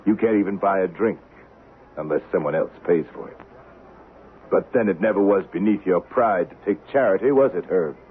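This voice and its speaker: A thin, old radio recording carries the very low voice of a calculating western avenger, acted by a man. He speaks deliberately and with control, dryly taunting, with a faint hiss underneath. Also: phone-quality sound, close to an everyday voice. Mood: taunting, assured. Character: wronged man seeking revenge, cool, calculating, in Old West. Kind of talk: 1970s radio drama acting.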